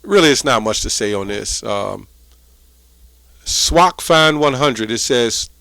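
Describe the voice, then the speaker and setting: A man's voice: medium pitch, expressive, slow pace, vocal fry, bright, forceful tone, no echo. A man giving informal religious teaching and scripture commentary in a colloquial way, often checking in with short rhetorical questions.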